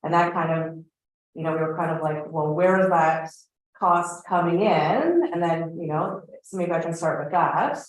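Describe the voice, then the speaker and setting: A woman's voice: sits low, flat delivery, normal speed, a little hiss or hum. A woman speaking informally as a council member, putting questions to officials at a meeting. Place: meeting room heard over a video meeting. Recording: very echoey meeting room.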